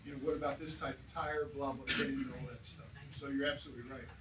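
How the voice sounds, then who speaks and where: A man speaks quickly and casually, offering advice from the audience. He is far from the microphone in an echoey, noisy room. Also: medium pitch, level tone, muffled recording. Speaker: audience member in insurance. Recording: distant echoey mic, clear noise.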